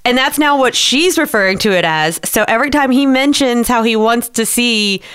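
A woman's voice: a little high, moderate pace, fairly bright tone, clear, resonant tone. A woman hosting a casual podcast, chatty and giggly, often saying "like". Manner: chatty, giggly, says "like" a lot. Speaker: podcast host. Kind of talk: casual chat.

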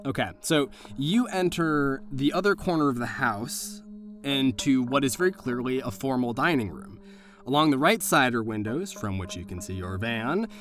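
A man acts as game master for a tabletop role-playing game. His mid-pitched voice moves up and down in a very animated way. He narrates casually, improvising as he goes. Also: normal speed, soft background music.

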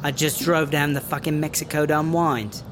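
A man plays a wary British rapper with a sly streak in a comedic audio drama. The voice sits a little high for a man. Faint ambience runs behind it. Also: fairly even intonation, moderate pace, noisy background, lightly acted. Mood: evasive.

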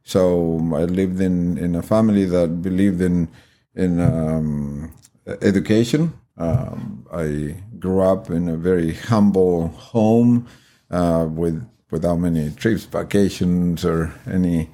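An older man from Argentina with an Argentine Spanish accent, a Spanish native speaker telling his life story as a podcast guest, halting and thoughtful with many pauses. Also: deep voice, fairly even intonation, dark tone.

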